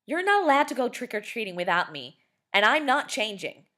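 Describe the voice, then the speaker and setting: A woman voicing an excited, stubborn boy who loves pumpkins in a storybook reading. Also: a little high, brisk, pitched above the performer's own voice. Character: proud quirky boy in a pumpkin costume.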